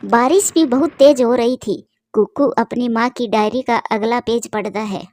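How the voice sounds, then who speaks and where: A woman's voice: on the high side, moderate pace, a little mellow. A woman narrating a scripted cartoon story.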